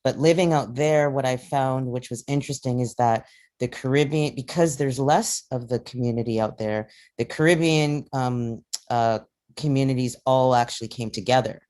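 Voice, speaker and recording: A woman's voice: extremely low pitch, moderate pace, dark tone. A woman from Canada, middle-aged, speaking in a casual discussion, rambling with many fillers and restarts. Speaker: panel participant.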